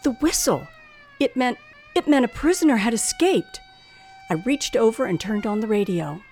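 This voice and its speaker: A woman's voice: a little high, expressive, moderate pace, darkish tone, faint music behind. An actress playing a nervous young woman who tells her own tale of driving alone at night in an old radio thriller. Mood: frightened. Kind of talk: old-time radio thriller acting, first-person narration. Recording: noisy old radio drama recording.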